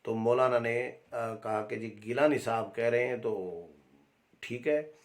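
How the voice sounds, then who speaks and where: Male political reporter, Pakistani accent, mid-pitched, explanatory and halting, speaking off the cuff. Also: steady tone. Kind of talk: unscripted political commentary.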